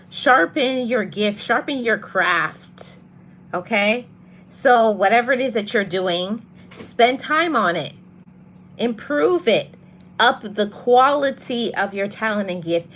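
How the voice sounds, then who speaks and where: A woman hosting a motivational podcast, talking to her audience in a soft voice and repeating her key phrases for emphasis. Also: medium pitch, a little hiss or hum. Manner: greets live viewers mid-talk. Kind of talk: live-streamed motivational talk.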